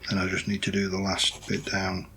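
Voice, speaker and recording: Hoarse, lowish male let's-play host; loose, thinking-aloud commentary with a gravelly edge. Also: completely flat delivery, a little fast, bright, forceful tone, slight background noise. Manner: rambling, thinking aloud while building. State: hoarse, voice going croaky. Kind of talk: casual gameplay commentary.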